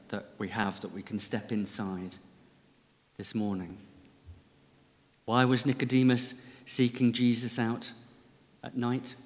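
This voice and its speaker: Bloke giving a sermon, easygoing with dry jokes, big echoey church. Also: male voice, mid-range voice. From Lancashire, England. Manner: gentle, measured, conversational with dry humour. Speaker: preacher. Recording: long reverb of a large church.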